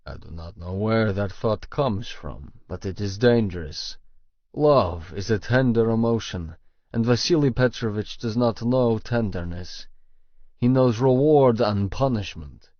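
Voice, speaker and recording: A man reads first-person romance fiction in a creaky, gravelly voice. His delivery is slow and deliberate, in a dry, slightly muffled recording. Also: fairly low pitch, lively intonation, no echo. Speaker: audiobook narrator.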